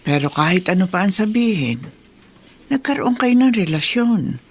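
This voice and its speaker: A man's voice: high-pitched, expressive, moderate pace, slightly rough. A man playing an insistent, moralizing parent in a Tagalog radio drama, emphatic and admonishing. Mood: urging, reproachful. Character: insistent, moralizing parent urging a grown son.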